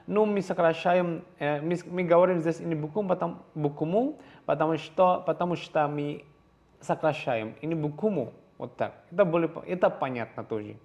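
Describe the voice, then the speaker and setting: A man's voice: a little high, moderate pace, darkish tone, dull audio. Hesitant man with an Indonesian accent. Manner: hesitant, many fillers and restarts, repeats phrases. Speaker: language teacher. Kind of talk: slide-based language lesson. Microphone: voice-over on slides.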